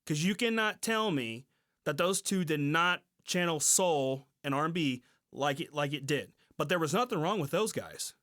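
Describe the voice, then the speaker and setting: A man's voice: on the high side, animated, normal speed, bright, forceful tone. A man co-hosting a podcast in informal conversation, speaking emphatically and repeating phrases as he builds a point. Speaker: podcast co-host. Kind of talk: casual podcast chat.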